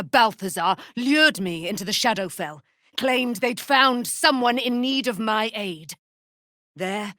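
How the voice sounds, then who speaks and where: A woman's voice: medium pitch, a bit bright, dry recording, studio quality. A woman playing a proud immortal warrior, daughter of a moon goddess, in theatrical fantasy game style with grandiose, archaic diction. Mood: grave, accusing.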